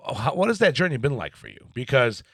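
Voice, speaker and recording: A man hosting a casual live podcast interview, blunt and quick to swear, often laughing. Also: mid-range voice, big pitch swings, fairly bright tone, husky, dry recording, clean studio sound. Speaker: podcast host.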